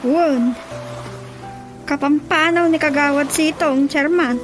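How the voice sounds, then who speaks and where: High-voiced, grumbling village councilwoman, Ilocano. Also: slow, music in the background. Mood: reporting. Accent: Filipino, Ilocano. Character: female village councilor, grumbling to her chairman. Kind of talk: radio drama comedy acting.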